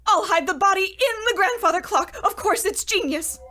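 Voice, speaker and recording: A woman's voice: very high-pitched, normal speed, sharp tone, faint music behind. A woman playing a paranoid, scatterbrained shut-in who frets and talks to herself in an old-time radio comedy. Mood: frantic, scheming. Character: paranoid, unhinged shut-in woman talking to her potato.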